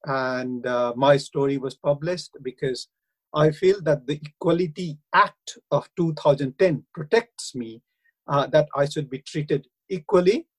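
Middle-aged indian-accented guy, fairly high voice, earnest, keeps saying uh. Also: measured pace, slightly rough, low-quality recording. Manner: earnest, hesitant, many uh fillers. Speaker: councillor and university lecturer. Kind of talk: radio interview.

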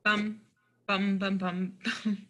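Actress as bright, eager, naive young-sounding woman. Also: mid-range voice, level tone, slow. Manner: wide-eyed, hesitant. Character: eager, naive, impatient small-town woman, easily flustered. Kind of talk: play reading.

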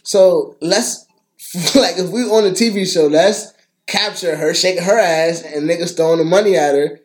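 Man, podcast co-host, slightly high voice, casual chat, slangy, opinionated, swears freely. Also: normal speed.

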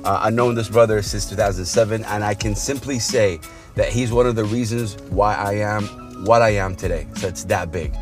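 Effusive, low-voiced male show host. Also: soft background music. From Saudi Arabia. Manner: effusive, halting with repeated phrases. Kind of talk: in-car interview, casual chat. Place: inside a car.

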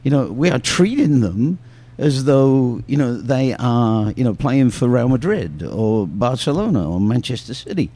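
A man's voice: mid-range voice, lively intonation, a little slow, few pauses, dark tone. A man, a youth soccer coach, talking reflectively in a casual podcast with many fillers. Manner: reflective, joking, filler-heavy.

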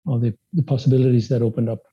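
A man whose first language is Spanish, raised in Sweden, talks in a very dark, mellow voice with a husky edge. He is hesitant and restarts often as he answers interview questions. The podcast audio is dull and dry. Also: medium pitch, steady tone, very fast. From Spanish-speaking country, raised in Sweden. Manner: hesitant, many restarts and fillers. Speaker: guest. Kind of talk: casual interview.

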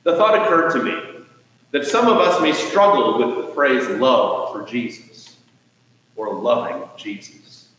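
A man preaching a sermon as a pastor, speaking in a measured, earnest way with emphatic swells and soft endings to phrases. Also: mid-range voice, expressive. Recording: distant mic in echoey hall, dull, faintly noisy.

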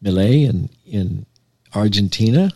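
A man speaking as a podcast guest in casual political chat, in a measured, unhurried way. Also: on the low side, animated, gravelly, warm tone, no echo.

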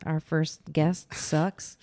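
A woman's voice: low-pitched, little pitch movement, extremely slow, mellow. A woman co-hosting a podcast in casual chat, with a soothing voice and a self-deprecating, laughing manner. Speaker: podcast co-host.

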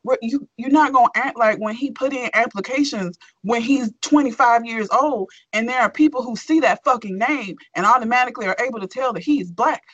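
A woman's voice: fairly high pitch, a little fast, hardly any pauses, no room sound, highs cut off. A woman co-hosting a talk show, reading a forum post aloud and then ranting with lots of swearing. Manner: expressive, swearing, animated rant. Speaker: podcast co-host.

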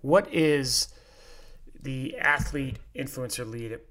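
A man's voice: mid-range voice, takes it slowly, a bit bright. Upbeat man hosting a podcast. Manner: upbeat, conversational, reads intros. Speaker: podcast host. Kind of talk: podcast interview.

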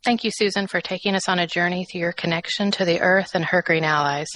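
A woman's voice: fairly low pitch, fairly even intonation, fast, a bit bright, poor audio. A woman radio host thanking her guest and announcing the next show in a polite, gracious way.

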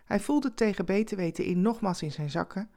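A woman narrating a scripted story expressively, with conversational asides and dramatic pauses. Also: medium pitch, animated, mellow.

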